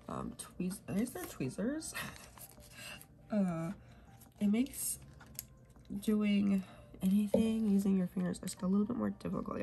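A woman's voice: medium pitch, vocal fry. A young woman chatting casually while filling in her planner, sniffly from a cold and rambling, sometimes dropping to a whisper. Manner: rambling, soft, drifts into whispers while working. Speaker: planner vlogger. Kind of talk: casual plan-with-me chat.

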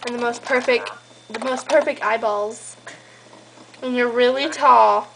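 High-voiced young woman teasing on a call. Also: a little slow, gravelly. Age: young adult. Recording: noisy amateur home camera recording.

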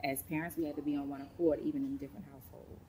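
A woman, a school counselor chatting as an interview guest, warm, with many ums. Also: sits low, fairly even intonation, rapid-fire, slight background noise. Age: late 30s. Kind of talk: casual interview talk. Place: large indoor sports facility studio.

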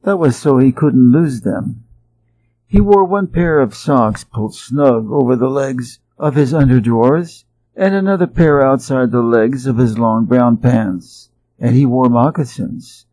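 A man from Wisconsin with an American Midwest accent, reading a children's story aloud slowly and clearly for English learners. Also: mid-range voice, dark tone, poor audio. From Wisconsin, USA. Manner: careful, evenly paced read-aloud. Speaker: audiobook reader for English learners.

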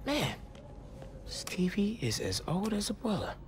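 A man's voice: high voice, very animated, a little slow, noisy background. A man playing an earnest, upbeat teenage boy superhero with an American accent, thinking aloud in a casual, youthful way in a video game. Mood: casual musing. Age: teens. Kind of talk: video game character acting, casual self-talk.

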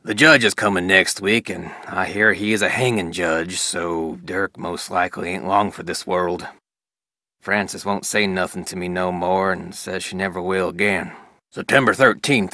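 Low, rustic Old West rancher. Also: male voice, bright, forceful tone, no room sound, studio quality. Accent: rural American West. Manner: plain-spoken, rustic, folksy. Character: stern, grieving rancher father in 1880s Old West. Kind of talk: character reading of journal entries.

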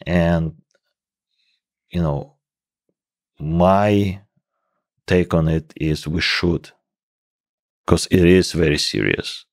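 This man in his forties is a native Russian speaker with a thick Russian accent. He speaks very slowly and haltingly, in a low register, as a guest in a casual podcast conversation. The sound is close and dry. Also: dry recording. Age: 40s. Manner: halting, hesitant phrasing. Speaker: interview guest, professor of computational biology. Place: small indoor podcast room. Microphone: close microphone on boom arm.

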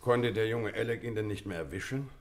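An actor as a hearty old-soldier colonel in a detective radio play. The male voice sits fairly low. He talks bluntly and emphatically, with the jovial authority of a country host. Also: fairly quick, close to an everyday voice. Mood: attentive. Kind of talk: German radio play acting.

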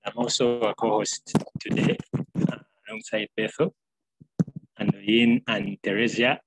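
A young man from Uganda co-hosting an online session, introducing the next presenter on a laptop call from a small room at home, pausing often with fillers. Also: on the low side, fairly even intonation, noisy background. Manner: hesitant, many filler pauses. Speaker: co-host. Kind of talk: introducing speakers on a video call. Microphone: laptop webcam on video call.

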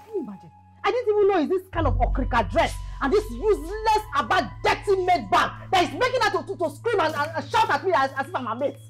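A woman's voice: very high voice, big pitch swings, fast, soft background music. A woman with a Nigerian accent acting an aggrieved, quarrelsome married daughter in a family drama, indignant and given to emphatic repetition. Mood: scornful.

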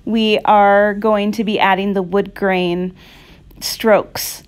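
This is a woman instructing an art class in a casual, conversational way. She takes her time, with a slow pace and a halting flow. Her pitch sits in the middle for a woman, and her manner is reassuring. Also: level tone, clean tone. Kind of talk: casual painting tutorial with personal asides. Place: indoors at a craft table.

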